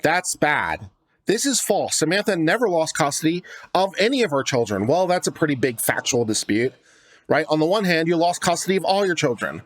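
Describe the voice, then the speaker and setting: A man with a slightly high voice, recorded close and dry with little room sound. He reads from a document in the role of online legal commentator, then drops into casual, sardonic remarks and dry rhetorical questions. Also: very animated, fairly quick, no echo. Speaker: host of a legal commentary channel. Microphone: close studio microphone.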